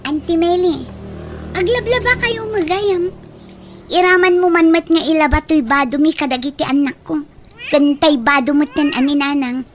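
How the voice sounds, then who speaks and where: A woman's voice: high voice, moderate pace, faint music behind, lightly acted. A woman playing a grasping, entitled grown daughter in a radio drama, with a Filipino accent. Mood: demanding. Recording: noticeable background noise.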